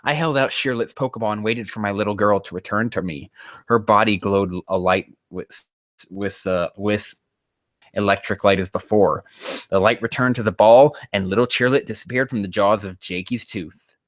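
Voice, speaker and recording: A man's voice: fairly low pitch, steady tone, normal speed, dry recording. Exasperated man riffing on amateur writing. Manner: exasperated, sweary, rambling. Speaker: host reading and critiquing amateur fan fiction. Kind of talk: casual read-aloud with mocking commentary.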